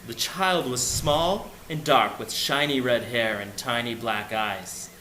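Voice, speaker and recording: A man's voice: medium pitch, slow pace, sharp tone. A man narrating a folk tale for children in simple, short phrases. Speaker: storyteller. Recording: echoey room, faint background noise.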